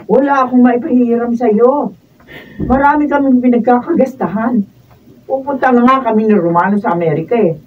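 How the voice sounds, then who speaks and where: Actress as a haughty Filipino wife, muffled and distant. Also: female voice, fairly high pitch, moderate pace, lightly acted. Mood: scornful. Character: haughty, stingy, sharp-tongued wife and older sister. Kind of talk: Filipino radio drama acting. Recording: muffled distant mic, faint noise.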